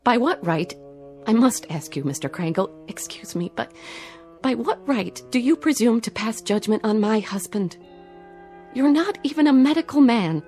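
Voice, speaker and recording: एक अभिनेत्री एक आक्रोशित युवा पत्नी की भूमिका निभा रही है, जो गंभीरता से अपने पति का बचाव करती है, पुराने टीवी नाटक में हल्के संगीत के साथ।. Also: female voice, on the high side, sing-song, normal speed, dark tone. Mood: indignant. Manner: earnest, protesting. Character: indignant young doctor's wife defending her husband. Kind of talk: 1960s TV drama acting. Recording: old TV soundtrack with faint music.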